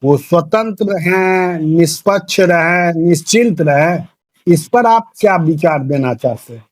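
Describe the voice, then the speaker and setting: A man interviews in a distinctly high voice. He speaks very slowly, with deliberate, formal phrasing. The call recording is dry, with hardly any echo. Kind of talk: questioning in a phone discussion.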